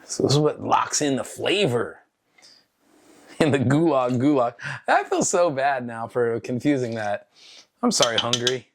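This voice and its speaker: A woman's voice: rumbling low, animated, moderate pace. A woman co-hosting a podcast, chatting casually and tipsily while she cooks in a home kitchen, rambling and making fun of herself with frequent laughs. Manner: rambling, self-deprecating, laughs often. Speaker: podcast co-host. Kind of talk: tipsy casual chat while cooking.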